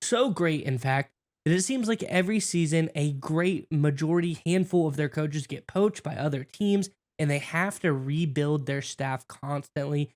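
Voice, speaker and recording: A man's voice: fairly high pitch, darkish tone. A man hosting a sports talk show, reading news articles aloud and then asking his co-host for his take. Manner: brisk, reads quotes then asks his co-host.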